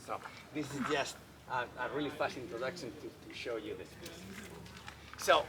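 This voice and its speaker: A man from Spain with a Spanish accent, a wildlife veterinarian giving a friendly, enthusiastic public talk at an outdoor festival. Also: medium pitch. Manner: enthusiastic, friendly, asks the audience questions. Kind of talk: public lecture. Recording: noisy outdoor festival recording.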